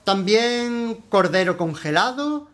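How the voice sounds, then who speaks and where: A man's voice: high-pitched, animated, takes it slowly, a bit bright, exaggerated voice. A man performs an unctuous supermarket salesman in a radio drama. He has an accent from Spain and a theatrical, over-polite sales patter, always gushing and eager to impress the customer. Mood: gushing salesmanship. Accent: Spanish from Spain. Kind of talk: radio play acting.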